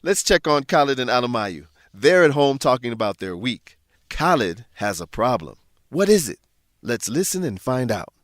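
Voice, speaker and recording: A man's voice: mid-range voice, sing-song, normal speed, no room sound, studio quality. A man narrating an educational radio drama in a scripted, theatrical way, putting questions to listeners.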